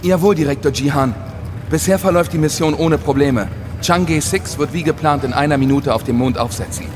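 Echoey, music-backed audio drama: male actor as a dutiful mission technician, formal, crisp, procedural. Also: on the high side, a little fast, natural voice. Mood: dutiful, focused. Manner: formal, crisp reporting and countdowns. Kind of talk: scripted German audio drama acting.

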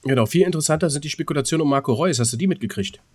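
A man's voice: on the high side, rapid-fire, darkish tone. Casual joking male podcast co-host. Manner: joking, colloquial, mock-formal asides. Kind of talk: casual comedic banter.

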